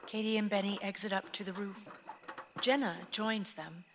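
An actress serving as narrator, reading scripted stage directions for a radio play. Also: female voice, mid-range voice, fairly even intonation, moderate pace, fairly bright tone, natural voice. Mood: narrating. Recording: reverberant hall, audible background ambience noise.

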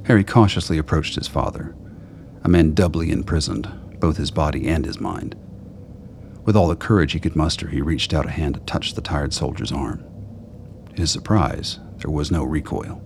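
An exceptionally deep-voiced man narrates a radio play. His voice crackles with gravelly fry, and noticeable noise sits behind it. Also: normal speed. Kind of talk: scripted radio drama narration. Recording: clear background noise.

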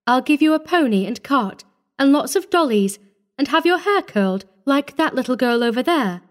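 A woman voicing a brisk, impatient, well-off woman in a story, speaking emphatically and with self-assurance. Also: high voice, lively intonation, pitched above the performer's own voice. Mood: coaxing.

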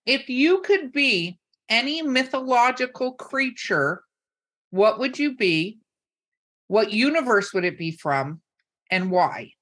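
A woman's voice: a little high, very animated, takes it slowly, fairly bright tone. A woman hosting a podcast in casual chat, teasing her guests bossily and swearing freely. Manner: bossy teasing, salty swearing. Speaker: podcast host. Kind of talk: casual chat, rapid-fire questions to guests.

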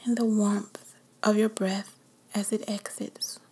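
Woman meditation guide, breathy whisper, very slow and calm. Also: medium pitch, poor audio.

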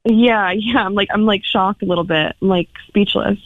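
A woman's voice: mid-range voice, moderate pace, no echo. A woman calling in by phone, chatting casually in a hesitant way, peppered with "like". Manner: hesitant, filler-heavy with "like". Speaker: phone-in guest. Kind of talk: casual chat. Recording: dull lo-fi phone call, dry.

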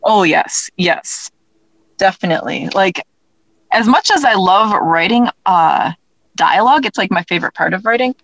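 A woman's voice: medium pitch, animated, normal speed, fairly bright tone, no room sound, low-quality recording. A woman interviewed as a writer, chatting informally and tentatively as she thinks aloud. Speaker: audio drama writer, interview guest. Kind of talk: casual interview chat.

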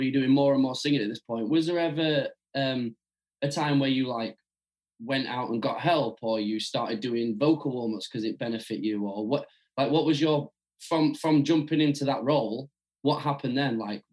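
A man's voice: mid-range voice, a little mellow, rough recording. A man hosting a music podcast, asking loose, rambling questions in casual chat. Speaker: podcast host.

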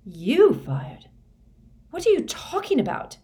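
A woman's voice: medium pitch, expressive, takes it slowly, dark tone, voice pushed up. A woman reading a storybook, voicing a frightened, bewildered teenage wizard boy in a breathless, insistent, earnest way. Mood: disbelief.

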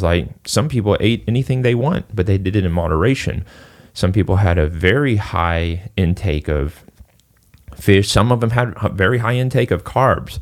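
Deep-voiced fitness coach man. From Singapore. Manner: loose and rambling, full of "you know" and "like". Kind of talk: casual podcast conversation.

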